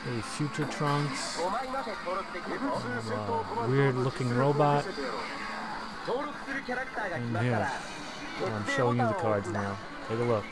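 A man talks through a friend's arcade match in a loose, offhand way, with plenty of fillers. His voice sits mid-range and sounds a bit worn from a recent cold. Loud game music blares around him, caught on a phone camera. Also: mid-range voice, level tone, slow pace, pauses often, poor audio. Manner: chatty, offhand, lots of fillers. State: recovering from a cold. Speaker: gameplay narrator. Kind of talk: casual arcade play-by-play commentary. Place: indoor toy store arcade.

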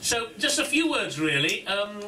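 Man giving launch speech, higher voice, heard from afar in an echoing room with noise. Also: big pitch swings. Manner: self-deprecating, halting. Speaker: studio co-founder giving speech. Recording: distant, echoing, clear noise.

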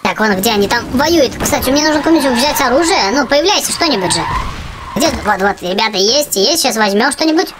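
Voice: medium pitch, expressive, fairly quick, noisy background. A gaming channel host giving casual, exclamatory gameplay commentary for children, addressing viewers as friends. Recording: music behind the voice.

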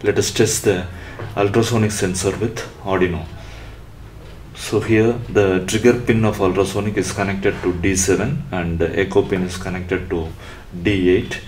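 Low-pitched man giving a halting tutorial. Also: steady tone, darkish tone, a little hiss or hum. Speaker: electronics tutorial presenter. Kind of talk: step-by-step tutorial narration.

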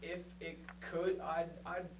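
A man's voice: on the high side, flat delivery, slow. A young man giving an informal public presentation, speaking casually and a little hesitantly in a meeting room. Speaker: resident presenting a proposal. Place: council meeting room, far camera. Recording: distant room mic, loud background noise.

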